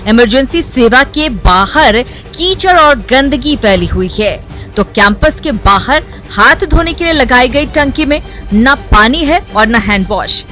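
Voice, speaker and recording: A woman's voice: high voice, fairly bright tone. A woman reading scripted television news narration as a voice-over presenter.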